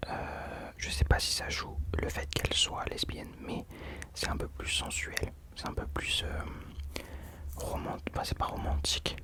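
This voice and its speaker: A young man whispering casual ASMR commentary as a host, hesitantly searching for his words. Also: measured pace.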